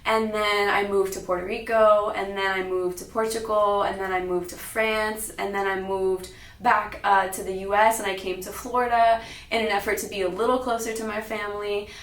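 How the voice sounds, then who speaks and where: A woman's voice: mid-range voice, little pitch movement, normal speed, a bit bright. A young adult American woman telling her personal story as a public talk, recorded in a roomy space at some distance. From Sacramento, California, United States. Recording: roomy, echoing, distant mic.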